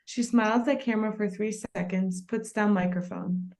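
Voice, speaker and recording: A woman's voice: mid-range voice, steady tone, moderate pace, darkish tone. A woman reading out screenplay stage directions as plain scripted narration at a table read.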